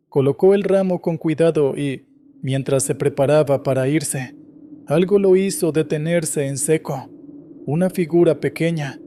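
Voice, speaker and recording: A man reading scripted first-person horror stories in a steady, measured way. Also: a little high, slight background noise. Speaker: horror story narrator.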